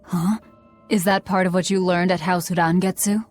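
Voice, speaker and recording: A woman's voice: fairly low pitch, fairly even intonation, normal speed, a little mellow, soft background music, close to an everyday voice. An actress playing a guarded young woman demon who devours her enemies, speaking in a curt, cold manner in a video game cutscene.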